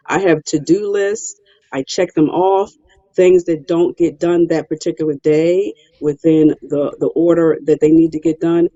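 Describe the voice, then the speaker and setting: Mellow-voiced woman guest, dry lo-fi. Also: lowish voice, dry recording. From Philadelphia, Pennsylvania. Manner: emphatic, self-assured, repeats words. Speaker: guest, real estate investor. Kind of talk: interview answers.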